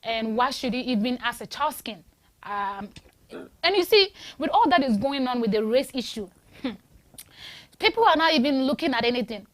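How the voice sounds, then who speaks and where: A woman's voice: sits high, lively intonation, normal speed. A woman from Ghana hosting a call-in talk show, chatty and emphatic, often restarting her sentences. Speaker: radio show host. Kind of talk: casual call-in discussion.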